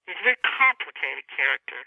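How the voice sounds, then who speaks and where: A woman's voice: low voice, very animated, vocal fry, dry recording. Woman on a tinny phone line. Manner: halting, often rephrasing mid-sentence. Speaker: author guest discussing own novel. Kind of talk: unscripted interview talk. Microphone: telephone.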